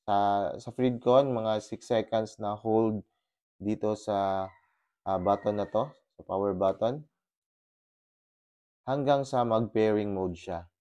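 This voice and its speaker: A man's voice: on the low side, slow pace, long pauses. A Filipino man showing step by step how to pair his gear, mixing Tagalog and English with many fillers, speaking into a helmet intercom mic on his motorcycle. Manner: mixes Tagalog and English, steady explaining with fillers. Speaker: motovlogger. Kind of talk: casual tutorial vlog. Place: on a motorcycle, outdoors in a subdivision. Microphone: intercom headset inside a helmet.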